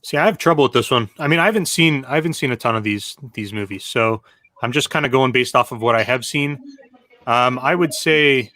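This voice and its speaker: A man co-hosts a casual live-streamed chat and speaks in a measured, hesitant way, padding his thoughts with fillers. His voice is bright and forward. The recording is dry, with no room sound. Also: medium pitch, no room sound. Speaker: co-host.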